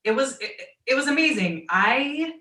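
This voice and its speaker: A woman with a naturally low voice and a Midwestern accent, telling stories as a guest on a video call. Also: lively intonation, normal speed, bright, forceful tone, reverberant, far from the mic. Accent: American Midwestern. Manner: chatty storytelling, quoting others in asides. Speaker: guest voice actor and writer. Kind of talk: online fan Q and A.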